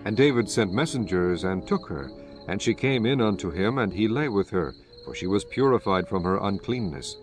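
A man with a fairly low voice narrates scripture. His delivery is measured and solemn, as a scripted reading, and music plays clearly behind him. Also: animated.

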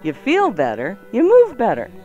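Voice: medium pitch, huge pitch swings, moderate pace, dark tone, dull audio, soft background music. A playful, teasing radio co-host who chats, jokes and reads out items for listeners. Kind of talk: chatty, joking radio banter and item reading.

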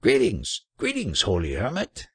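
A man's voice: medium pitch, wildly swooping pitch, normal speed, vocal fry, husky, no room sound, voice pushed up, heavily acted. A man voicing a huge, boisterous and superstitious trader in a storybook, with a bellowing, effusive manner. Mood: booming, eager.

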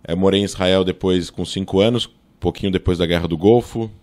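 A low-voiced Brazilian man from São Paulo, in his thirties, talking as a podcast guest. He rattles through his story fast, full of fillers and self-corrections. He speaks close to a studio mic in a small room. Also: fairly even intonation, darkish tone. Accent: Brazilian Portuguese, São Paulo. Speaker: guest, political scientist. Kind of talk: casual interview, storytelling. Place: small studio with table and monitor. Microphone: boom-arm studio mic.

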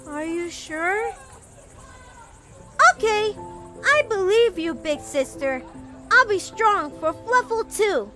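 Sweet innocent little girl, really high and swoopy, hesitant, music behind. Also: exaggerated voice. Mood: scared, then brave, hopeful. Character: sweet, earnest, anxious little girl in fantasy world. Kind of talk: fantasy audio roleplay acting.

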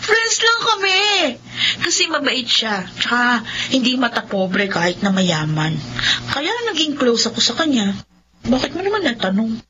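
A woman's voice: a little high, big pitch swings, unhurried, bright, forceful tone, exaggerated voice. An actress playing a chirpy, candid young woman with a Filipino accent in a radio drama. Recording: lo-fi audio with faint noise.

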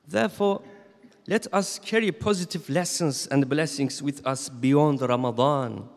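A man in his thirties giving a Friday sermon as imam, reading from notes in a measured, solemn way at a stand microphone in a large mosque hall. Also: mid-range voice, a little mellow. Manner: measured, solemn reading with slow emphatic phrases. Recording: long reverb of a mosque hall.

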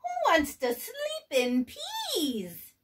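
A woman reading aloud and voicing an excitable young boy kitten hero in a squeaky, giddy voice with mock Spanish flair. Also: drawn-out words, full character voice. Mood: excited. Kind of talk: storybook read-aloud.

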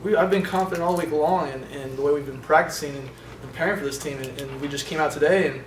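A young man, a college quarterback at a postgame press conference, answering questions in a halting way with many fillers and restarts. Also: on the high side. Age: young adult. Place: indoor press room in front of a sponsor backdrop. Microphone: table microphones from a short distance. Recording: roomy, distant sound with faint noise.

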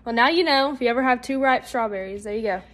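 A woman's voice: on the high side, quick pace, a bit bright. A young woman, casually reading viewer comments aloud during a live cooking stream in a home kitchen. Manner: casual, reads comments aloud, says y'all.

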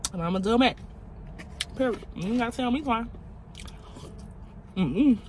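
A woman's voice: on the high side, expressive, moderate pace, warm tone, slight background noise. A woman, talking casually to camera from a parked car, blunt and outspoken. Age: 20s. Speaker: vlogger. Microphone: phone camera on dashboard.

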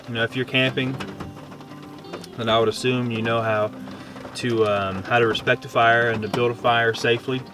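A man in his thirties with an American accent, talking casually to camera as he gives camping advice outdoors. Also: medium pitch. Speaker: camping vlogger. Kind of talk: casual instructional talk to camera. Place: outdoors at a forest campsite under a shelter. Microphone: on-camera shotgun mic at short distance.

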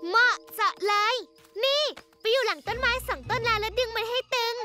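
A woman's voice: very high-pitched, a little fast. A woman voicing a confident, eager young girl owl superhero in a children's cartoon dub, with a proclaiming manner. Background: loud music.

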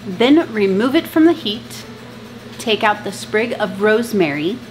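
A woman in her thirties talking to camera in her home kitchen, giving chatty, brisk canning instructions. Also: mid-range voice, lively intonation, slight background noise, rough recording. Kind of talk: casual how-to talk to camera. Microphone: camera at close range.

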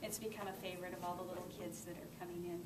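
A woman's voice: fairly low pitch, level tone, very fast. A middle-aged woman giving an informal public speech as a library manager, showing listeners around. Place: library community room, indoors. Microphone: far camera microphone. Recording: distant camera, echoey room, clear noise.

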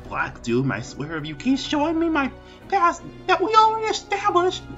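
A man voicing an angsty, short-tempered hedgehog antihero in an improvised comedy parody dub, with a halting, exasperated manner. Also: extremely high pitch, huge pitch swings, bright, forceful tone, lo-fi sound, soft background music, heavily acted. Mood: indignant outburst.

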